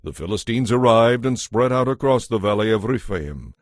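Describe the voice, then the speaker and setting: A man narrating scripture from a script in a measured, solemn manner. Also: on the low side, lively intonation, no echo, studio quality. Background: soft music.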